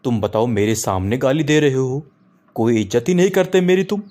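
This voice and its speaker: A man's voice: medium pitch, expressive, normal speed, dark tone, natural voice. A man voicing an exasperated, preachy middle-aged father in a comic cartoon. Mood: indignant. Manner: preachy, foul-mouthed. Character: exasperated middle-aged Indian father scolding his wayward son.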